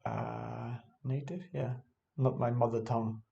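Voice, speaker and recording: A man of middle pitch talks through his own game in English, which is not his first language. He thinks aloud casually, stopping often to correct himself and filling pauses with fillers. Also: fairly even intonation, gravelly, dark tone, lo-fi sound. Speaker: chess vlogger reviewing his own game.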